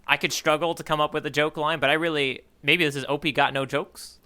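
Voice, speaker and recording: A man's voice: a little high, fairly even intonation, fairly quick, pushed and bright, clean tone. A man co-hosting a podcast in casual chat, musing aloud and hedging with "like" and "you know". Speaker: podcast co-host reading the manga for the first time.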